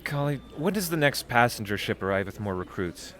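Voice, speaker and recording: A man's voice: medium pitch, big pitch swings, fairly quick, fairly bright tone. An actor playing an anxious, eager young man of twenty-two leading space biker recruits in an audio drama, stammering nervously. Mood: scheming, wistful. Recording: music under the voice.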